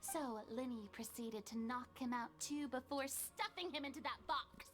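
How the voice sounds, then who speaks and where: A woman's voice: sits high, normal speed, natural voice. A woman voicing a haughty, theatrical young female deity in a video game, making a pompous, declamatory accusation. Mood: accusing, assured.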